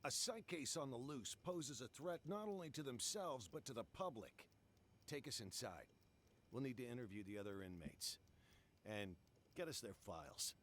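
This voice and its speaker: A man's voice: mid-range voice, lively intonation, normal speed, a bit bright, a little hiss or hum, natural voice. An actor playing a skeptical, impatient police detective in a radio drama, blunt and sardonic in his orders. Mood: commanding. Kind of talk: interactive radio drama acting.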